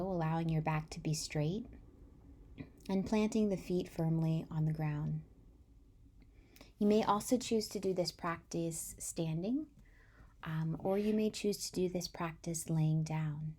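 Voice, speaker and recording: A woman leads a guided meditation at a very slow pace. Her voice is slightly low and mellow, soft and breathy at the ends of phrases. She speaks gently and soothingly, leaving long pauses. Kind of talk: guided body-scan meditation.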